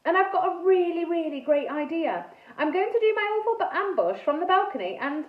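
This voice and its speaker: A woman's voice: very high-pitched, animated, fairly quick, voice pushed up. A woman voicing a polite, nervous young girl in a children's story, hesitant but eager. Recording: phone-like thin sound, roomy echo.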